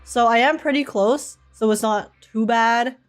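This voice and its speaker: A young woman with a highish voice hosts a casual game livestream. She is bubbly and chatty, reading and answering comments as she goes. Game music plays lightly behind her. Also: measured pace.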